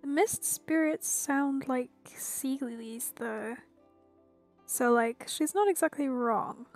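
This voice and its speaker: High-voiced woman doing casual game commentary. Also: takes it slowly, soft background music. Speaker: game streamer.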